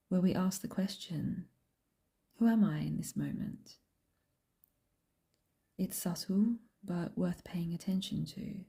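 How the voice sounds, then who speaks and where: A woman guiding a meditation in a soft, breathy whisper, speaking gently and unhurriedly with long pauses. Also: fairly low pitch. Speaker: meditation guide and host. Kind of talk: slow guided meditation, soft whispered speech.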